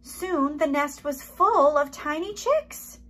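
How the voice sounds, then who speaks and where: A woman storyteller reading a children's story aloud in an expressive, deliberate manner. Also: sits high.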